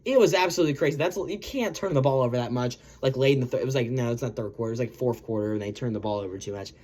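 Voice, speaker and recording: A man hosting a casual sports recap video, his voice a little hoarse from yelling, rambling and correcting himself as he talks. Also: medium pitch, racing. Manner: rambling, self-correcting, lots of "guys" and "um".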